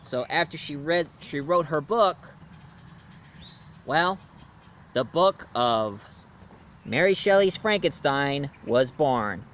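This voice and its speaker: A man hosting a casual, unscripted review, talking in a halting, rambling storyteller's way. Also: fairly high pitch, lively intonation, a bit bright. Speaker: host, film and book reviewer. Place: outdoors. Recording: noisy outdoor recording with insects.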